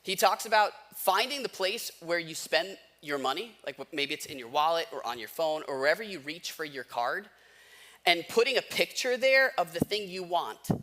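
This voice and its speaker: An American man in his thirties speaks as a pastor in a casual, conversational style. His voice sits a little high for a man and is very bright and forceful. He uses everyday slang and puts questions to his listeners as he tells personal stories. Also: expressive, moderate pace. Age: 30s. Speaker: pastor preaching.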